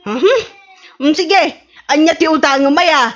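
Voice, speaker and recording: An actress with an Ilocano accent playing an exasperated village shopkeeper in a radio comedy, scolding with incredulous exclamations. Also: female voice, sits high, animated, slow.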